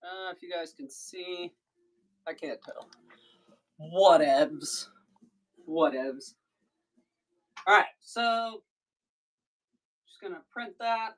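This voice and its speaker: Deep-voiced woman from Missouri, unhurried and chatty, runs her own live sales stream. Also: low-quality recording. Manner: chatty, breaks off mid-thought to handle tasks. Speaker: online seller streaming a live sale. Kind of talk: casual livestream chat while packing orders.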